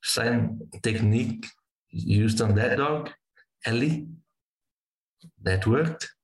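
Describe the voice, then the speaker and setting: A man from South Africa, a native Afrikaans speaker with a South African accent, telling stories in a casual interview, halting and repeating words as he goes. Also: medium pitch, echoey room, poor audio. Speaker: wingshooting guide.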